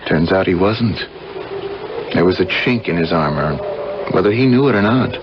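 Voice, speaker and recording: An actor playing a remorseful, resigned man mourning his brother in grave, measured radio-drama speech. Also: sits low, lively intonation. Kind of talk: 1970s radio drama acting. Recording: dull radio recording, background noise.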